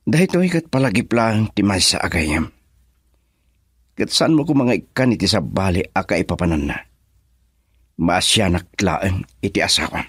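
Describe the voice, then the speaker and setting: A man's voice: deep voice, expressive, moderate pace, pauses often, fairly bright tone, slightly rough, dry recording, clean studio sound, full character voice. A man acting a cold, taunting schemer in a radio drama, speaking in a menacing way.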